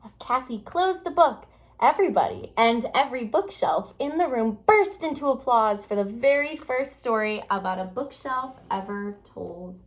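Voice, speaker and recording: A woman reading a children's picture book aloud with expressive, dramatic storytelling phrasing. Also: fairly high pitch, normal speed.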